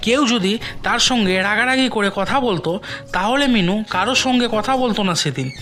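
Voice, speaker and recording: A woman's voice: medium pitch, lively intonation, brisk, fairly bright tone. A woman reading scripted storybook narration for a cartoon moral tale. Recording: music behind the voice.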